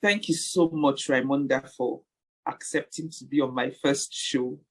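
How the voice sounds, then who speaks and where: A woman hosts an interview podcast in a husky voice. She takes her time, speaking slowly and pausing mid-phrase as she feels for the next word. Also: rumbling low, fairly even intonation. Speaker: podcast host.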